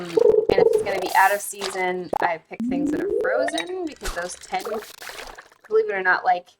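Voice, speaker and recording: A woman's voice: mid-range voice, very noisy. A woman from the United States chatting casually while playing a game on her stream, thinking aloud with fillers. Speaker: streamer and host. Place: home, living abroad.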